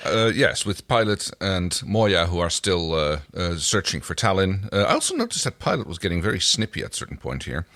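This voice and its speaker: A man co-hosting a podcast, discussing a TV episode casually in a measured, matter-of-fact, explanatory manner. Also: low voice, very animated, fairly bright tone, no room sound, clean studio sound. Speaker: podcast co-host.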